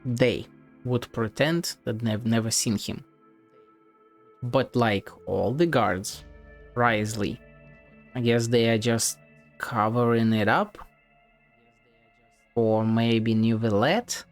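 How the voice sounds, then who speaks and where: A game streamer talks through a playthrough in a medium-pitched voice. The commentary is casual and muttered, sprinkled with swearing, with game music playing behind it. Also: slow, long pauses. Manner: muttering, swearing, thinking aloud. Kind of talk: casual play-along gaming commentary. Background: video game music.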